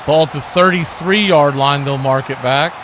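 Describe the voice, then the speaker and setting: A man's voice: fairly high pitch, moderate pace, noisy background. A man calling live football play-by-play as host, in clipped, telegraphic phrases. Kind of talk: live sports play-by-play commentary.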